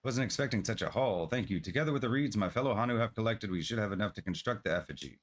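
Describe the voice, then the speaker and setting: A man's voice: on the low side, lively intonation, quick pace. A man reading the part of a helpful, reassuring villager from a fantasy video game, in a courteous manner.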